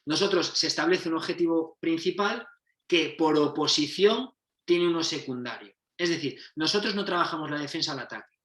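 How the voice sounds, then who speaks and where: A man's voice: fairly high pitch, normal speed, fairly bright tone. A man, a football coach giving a methodology talk, explaining step by step and often correcting himself mid-sentence. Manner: explanatory, restarts and self-corrections. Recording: roomy echo.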